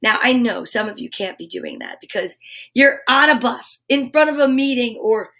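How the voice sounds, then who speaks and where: High-voiced, effusive woman hosting story time. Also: huge pitch swings, moderate pace, creaky, fairly bright tone. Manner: effusive, encouraging, chatty with playful asides. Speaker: host of a children's story time show. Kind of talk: live children's show chat and read-aloud.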